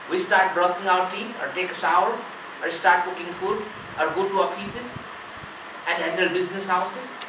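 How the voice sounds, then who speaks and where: A woman as a spiritual teacher giving a discourse, measured, speaking in short listed phrases. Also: medium pitch. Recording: roomy, distant mic, ambient noise.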